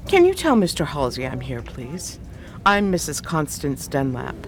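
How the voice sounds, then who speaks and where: Shrewd tough dame, lowish voice, expressive swoops, wry slang, 1920s radio crime drama. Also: female voice, normal speed, slight background noise, full character voice. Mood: polite, cool. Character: shrewd, hard-boiled, cool 1920s adventuress.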